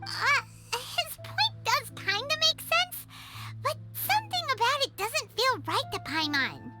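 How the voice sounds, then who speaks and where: Brief: tiny fairy sidekick, very high, bouncy, swoops around, loud game music under it. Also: female voice, unhurried, heavily acted. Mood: skeptical. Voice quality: high squeaky childlike voice, animated. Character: tiny floating fairy girl companion, excitable and blunt. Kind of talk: video game voice acting.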